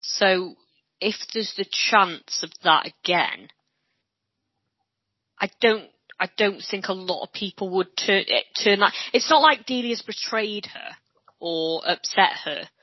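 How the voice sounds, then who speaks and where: A woman's voice: medium pitch, slow, husky, no room sound. A woman from Wales with a Welsh accent, co-hosting a podcast and earnestly making her points in casual chat. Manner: earnest, makes points at length. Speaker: podcast co-host. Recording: dry, dull lo-fi sound.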